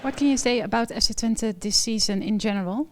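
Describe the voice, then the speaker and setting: Woman asking brisk interview questions. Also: on the high side, a little hiss or hum.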